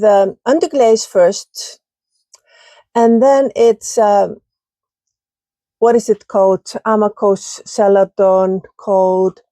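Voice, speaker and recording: Slow, mellow woman with an Icelandic accent. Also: medium pitch, no room sound. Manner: slow, searching for words, with fillers. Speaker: potter showing kiln results. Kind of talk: casual show-and-tell vlog.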